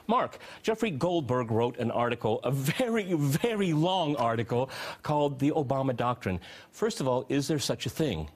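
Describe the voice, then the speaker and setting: Husky, animated man hosting debate. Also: medium pitch. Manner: brisk, opinionated, interjecting. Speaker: host, moderator. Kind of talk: moderated political talk show. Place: TV studio at a round desk.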